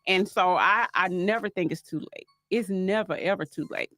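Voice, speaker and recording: Middle-aged woman, warm folksy guest telling stories. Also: lowish voice, moderate pace, no room sound. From Alabama. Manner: homey, laughing storyteller. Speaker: author and guest. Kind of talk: casual interview storytelling.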